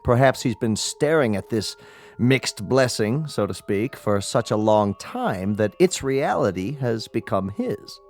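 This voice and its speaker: A grave, courteous family doctor explains things formally in a radio play. His mid-range voice rises and falls widely, deliberate and professorial, over faint music. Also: medium pitch, expressive, a little mellow. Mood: grave, explanatory. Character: measured, pedantic male family doctor, gravely polite. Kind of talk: radio drama acting.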